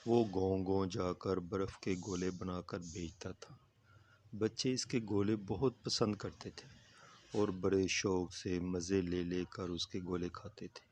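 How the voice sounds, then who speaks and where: A man narrating a scripted moral tale for a cartoon in a simple, deliberate storytelling manner. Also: low-pitched, fairly even intonation, a little mellow.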